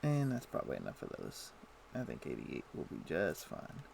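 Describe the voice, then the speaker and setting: A man's voice: very deep voice, huge pitch swings, normal speed, vocal fry. A man casually chatting and thinking aloud while he plays a game on a live stream. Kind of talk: casual chat while playing. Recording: noisy home stream audio.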